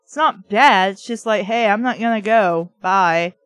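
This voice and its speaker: A woman's voice: medium pitch, a little slow, a bit bright, dry recording. A woman reading a book aloud and breaking in with blunt, sarcastic, profane commentary, arguing back at the text. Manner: blunt, sweary, mocking; opinionated, argues back at the text.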